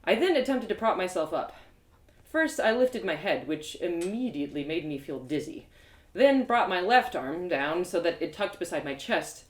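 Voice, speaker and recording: A woman giving a theatrical, expressive dramatic reading of a story as its narrator. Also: lowish voice, moderate pace. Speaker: author performing her audio drama. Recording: roomy, echoey sound.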